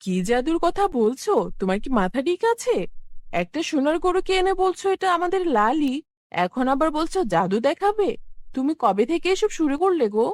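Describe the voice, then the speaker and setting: Shrill, exclamatory village wife played by a woman. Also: sing-song, normal speed, a little mellow, no echo. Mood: sceptical. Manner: exclamatory, questioning. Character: astonished, scolding village farmer's wife. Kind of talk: cartoon fairy-tale voice acting.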